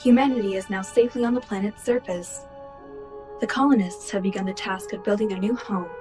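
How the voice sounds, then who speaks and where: A woman's voice: mid-range voice, darkish tone, natural voice. A woman as composed colony log narrator over music. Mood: formal. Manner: measured, formal. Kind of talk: formal log narration in radio play.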